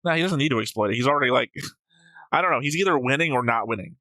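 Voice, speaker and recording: A man with a bright, forceful voice in a casual debate about a show. He argues his points analytically and swears casually. The sound is very dry. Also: medium pitch, expressive, fast, no echo. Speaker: host of an anime reaction show.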